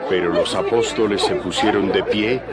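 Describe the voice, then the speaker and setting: A man's voice: sits low, fairly even intonation, normal speed. A man reading scripted narration for a dramatized audio Bible. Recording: loud music and crowd noise behind. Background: loud music, crowd.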